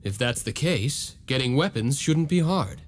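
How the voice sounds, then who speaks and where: Guy doing young noble vampire hunter for a game, normal male pitch, earnest n serious, slight noise in background. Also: animated, measured pace, natural voice. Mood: resolute. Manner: earnest, solemn, formal. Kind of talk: video game cutscene voice acting.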